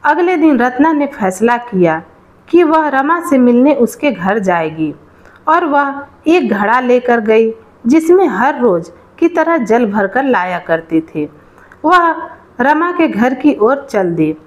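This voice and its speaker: A woman narrates a moral folk tale in a fairly high voice. Her pitch moves up and down in a lively way, and she takes her time over each sentence as a storyteller. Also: slow pace, lo-fi sound.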